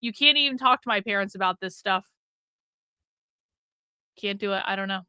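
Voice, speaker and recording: A woman's voice: mid-range voice, normal speed, sharp tone, clear, resonant tone, no room sound. A woman hosting a livestream in casual, rambling talk, blunt and sweary, often repeating phrases for emphasis. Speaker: livestream host.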